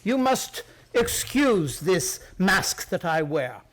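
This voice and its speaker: Proud disguised king, high-pitched for a man, stately, formal wording, guarded, radio play. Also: very animated, slow pace, a bit bright, a little hiss or hum, exaggerated voice.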